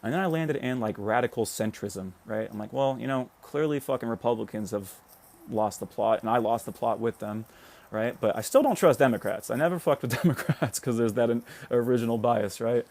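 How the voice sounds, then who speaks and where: Fast-talking American man ranting on a livestream. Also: mid-range voice, creaky, a little mellow. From United States. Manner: rambling, peppers talk with "right?" and swearing; reads out and answers viewer comments. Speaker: livestream host. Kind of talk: casual political livestream monologue.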